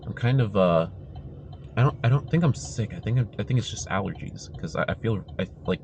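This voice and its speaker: A man's voice: fairly low pitch, expressive, fairly quick, vocal fry. A young man with a slightly congested voice, chatting casually to the camera as a vlogger inside a car. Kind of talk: casual vlog chat to camera. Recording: noisy car interior with engine hum. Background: car and road noise.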